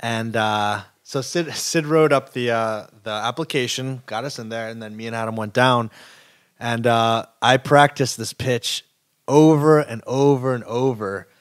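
A man's voice: mid-range voice, a little slow, clear, resonant tone. A young man telling a story on a podcast, casual and chatty, with lots of filler words. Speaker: kombucha company co-founder.

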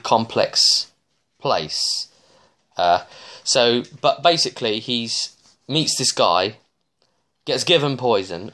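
A man in his thirties giving a casual, rambling recap as a game master, with halting, digressive phrasing and frequent restarts. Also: medium pitch, fairly bright tone. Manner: halting, digressive, often restarting mid-sentence. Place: small living room, indoors.

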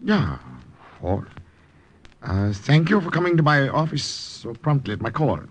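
An actor playing a smooth, calculating German military commander in an old radio drama. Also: male voice, medium pitch, big pitch swings, unhurried. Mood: smug, probing. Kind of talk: 1940s-50s radio drama acting.

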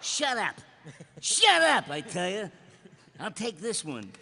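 Man putting on a fussy cartoon uncle, bossy, lively swoops, deliberate pace, high voice. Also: creaky, full character voice. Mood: bossy, excitable. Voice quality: bright, forceful. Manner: exaggerated, breaking into giggles. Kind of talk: live cartoon-voice table read.

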